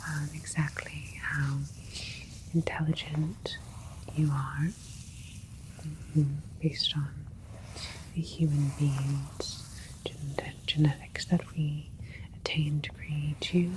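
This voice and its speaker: A woman playing a calm, clinical alien scientist in a sci-fi ASMR roleplay, speaking in a soft, breathy whisper with a gentle, methodical manner. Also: sits low, takes it slowly, pauses often, background noise. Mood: calm, explanatory. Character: calm, clinical alien scientist doctor examining their creation.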